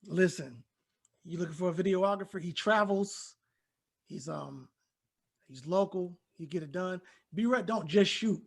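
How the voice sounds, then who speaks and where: A man hosting a casual live stream, hoarse today, hyping and joking with his guest. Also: high voice, measured pace, long pauses. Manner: hyped, joking, encouraging. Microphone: phone or computer live stream.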